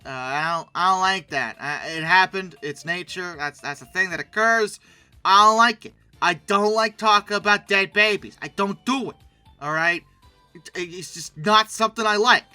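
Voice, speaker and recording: A commentator giving casual, humorous commentary in a rambling, animated, mock-indignant way, with conversational asides. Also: medium pitch, dry recording. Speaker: host reviewing old dinosaur illustrations.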